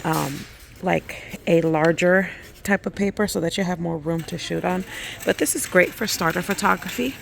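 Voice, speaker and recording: A woman hosting her skincare channel, hoarse and losing her voice, chatting casually with lots of ums and likes as she walks through a craft store. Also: fairly low pitch, slight background noise. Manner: chatty, many fillers like um and like. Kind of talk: casual shopping vlog walkthrough.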